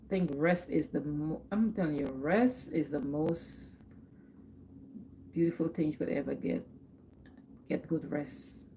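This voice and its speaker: Woman, Guyanese accent, middle-aged, fairly low voice, chatty livestream host, faint background noise. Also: fairly even intonation, a little slow, pauses often, warm tone, rough recording. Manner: chatty, repeats herself, laughs often. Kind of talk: casual livestream chat.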